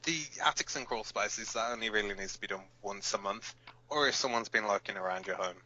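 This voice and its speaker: Man playing a forceful, blunt resistance radio fighter. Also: on the low side, brisk, highs cut off. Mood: instructive. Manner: blunt, sweary, emphatic. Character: hot-headed, protective male alien-war resistance fighter hosting rebel radio. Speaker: radio broadcast host. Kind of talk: amateur audio drama as underground radio broadcast.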